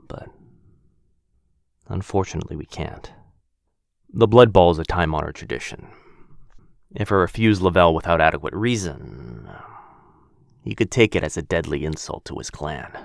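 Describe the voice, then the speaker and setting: An actor playing a protective, brooding vampire lord in an intimate ASMR roleplay, speaking in a hushed, measured, halting way close to the mic. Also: male voice, dry recording. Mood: bitter, worried. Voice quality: low, gravelly. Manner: hushed, measured, halting pauses, often dropping to breathy murmur. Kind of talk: boyfriend ASMR fantasy roleplay, intimate one-sided dialogue. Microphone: close microphone, dry.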